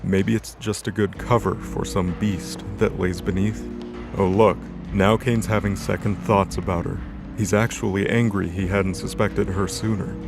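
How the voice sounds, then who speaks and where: A man's voice: low voice, level tone, moderate pace, vocal fry. A man reading scripted, dramatic narration for an audio drama story. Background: background music.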